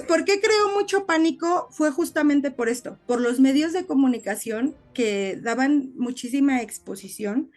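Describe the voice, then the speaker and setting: A woman with a high voice tells stories casually as a podcast co-host. She explains in an animated way, checking in with short tag questions, over background music. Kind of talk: casual podcast storytelling chat.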